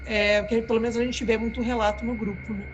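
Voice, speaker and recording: A Brazilian woman explains things in a halting, searching way, often tagging her sentences with "né". Her voice is a little higher than most. Steady, noticeable noise sits under the live-stream audio. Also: muffled recording. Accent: Brazilian Portuguese. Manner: explanatory, hesitant, frequent "né". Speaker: podcast co-host. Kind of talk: casual live-stream chat.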